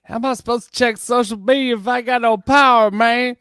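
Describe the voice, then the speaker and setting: A man's voice: extremely high pitch, steady tone, brisk, bright, forceful tone, clear, resonant tone, no echo, clean studio sound. A man chatting casually as a second voice on a livestream. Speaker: livestream co-host or guest. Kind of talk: casual chat.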